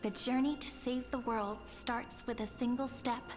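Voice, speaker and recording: An actress playing a thoughtful, playful young female companion in a video game, speaking in a measured, articulate way. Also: a little high, fairly even intonation, lightly acted. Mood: encouraging, playful. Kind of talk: scripted game dialogue. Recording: music behind the voice.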